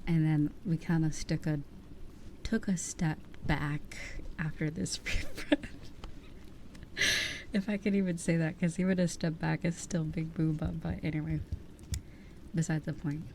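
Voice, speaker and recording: A woman in her twenties chatting casually as an ASMR streamer in a soft, breathy voice that often drops to a whisper, rambling candidly close to the microphone. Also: low-pitched, fairly even intonation, unhurried, pauses often, slight background noise, low-quality recording. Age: 20s. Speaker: ASMR livestream host. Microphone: close ear-to-ear ASMR microphone.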